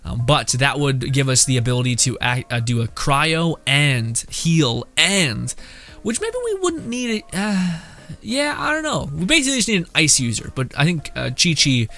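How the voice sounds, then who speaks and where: A man's voice: fairly high pitch, sing-song, normal speed, pushed and bright, faint music behind. A young man giving casual, rambling, joking let's-play commentary as a gaming streamer.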